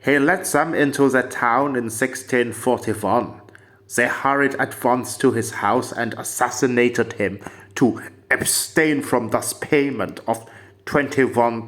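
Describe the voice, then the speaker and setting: A man reading a quoted passage aloud as a voice-over, measured and deliberate. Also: medium pitch, fairly bright tone. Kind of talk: slow read-aloud voice-over.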